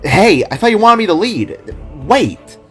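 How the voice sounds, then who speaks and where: A man's voice: high-pitched, very animated, a little slow, faint music behind, pitched above the performer's own voice. A man voicing an awkward, curious, easily awed male adventurer in an amateur audio drama. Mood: annoyed. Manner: mumbling, whispering, calling out in complaint.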